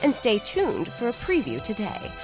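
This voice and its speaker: A woman's voice: on the high side, huge pitch swings, moderate pace, faint music behind. A woman presenting a comic scripted podcast promo in a tongue-in-cheek, theatrical way.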